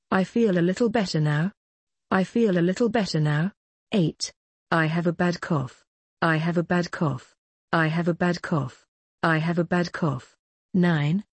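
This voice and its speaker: Female language teacher, lower register, husky tone, careful drill delivery. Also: darkish tone, dry recording. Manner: clear, careful delivery, each phrase repeated several times. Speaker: English phrase teacher.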